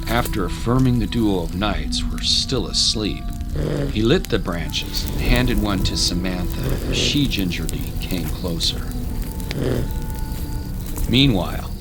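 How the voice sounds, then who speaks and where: A man narrating a scripted dramatic story as an audiobook narrator. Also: low-pitched. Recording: music under the voice.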